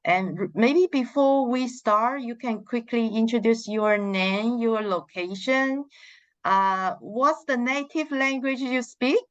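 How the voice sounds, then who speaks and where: A woman's voice: a little high, slow pace, no room sound. A woman organizing a training session, leading introductions on a video call with hesitant fillers. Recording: muffled video call audio.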